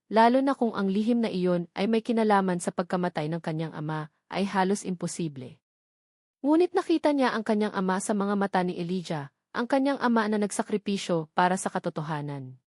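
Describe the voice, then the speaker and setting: A woman's voice: mid-range voice, animated, fairly quick, a little mellow, no room sound, studio quality. A woman reading scripted, dramatic narration of a love story as its storyteller.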